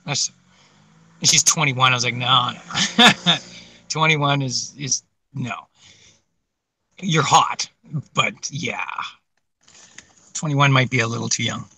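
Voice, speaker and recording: A man's voice: medium pitch, takes it slowly, pauses often, sharp tone, slightly rough, no echo, poor audio. A man in his forties co-hosting a casual livestream chat, rambling, laughing often and swearing casually. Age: 40s. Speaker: livestream co-host.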